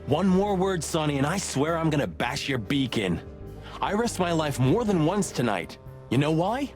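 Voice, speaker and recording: A man's voice: fairly high pitch, very animated, moderate pace, darkish tone, soft background music. A man playing a loyal, hot-headed rooster cop in a noir game, wisecracking and blustery. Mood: indignant. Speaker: detective's partner. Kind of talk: film noir game voice acting.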